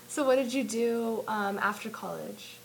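Teen girl student doing an interview, highish voice, casual questions, noisy background. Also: expressive, slow pace, gravelly. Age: teens, about 18. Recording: noticeable background noise.

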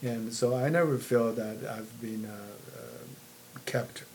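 A man tells his personal history to an interviewer. His delivery halts and doubles back on words, at a medium pitch. There is clear noise behind him. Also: steady tone, rough recording. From Taiwan (born in China). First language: Mandarin Chinese. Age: 60s. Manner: halting, repeats words and phrases. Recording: noticeable background noise.

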